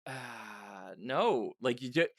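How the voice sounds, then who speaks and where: A man's voice: mid-range voice, animated, drawn-out words, fairly bright tone, dry recording, studio quality. A man hosting a casual interview, telling anecdotes and often acting out bits of imagined dialogue. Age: 30s or older. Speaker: podcast host interviewer.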